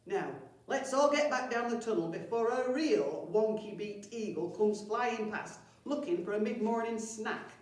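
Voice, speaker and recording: A small, irritable storybook goblin chief, acted by a woman. He growls and scolds bossily in a raised, loud voice. It sounds distant in an echoey room. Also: fairly high pitch, animated, a little slow, few pauses, pitched above the performer's own voice. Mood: irritated, commanding.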